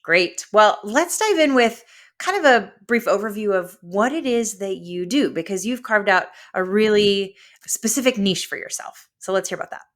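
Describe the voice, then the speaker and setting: A woman hosting a podcast, a little hoarse from being sick, introducing her guest and asking questions. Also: medium pitch, animated, moderate pace. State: hoarse from illness. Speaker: podcast host.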